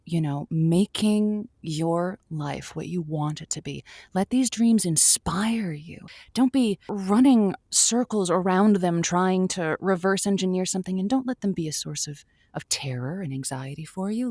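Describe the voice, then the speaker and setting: An earnest radio call-in host with a slightly low voice and a dry, close sound. She counsels in a gently whimsical, encouraging way, like a quirky late-night advice show in an audio drama. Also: measured pace, dry recording.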